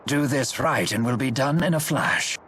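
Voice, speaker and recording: An actor playing a courteous, wise older male steward and strategist in a game, speaking formally and with measured care. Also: medium pitch, a bit bright, slight background noise, close to an everyday voice. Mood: calm, wry. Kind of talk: English game dub acting. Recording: game soundtrack music behind the voice. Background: background music.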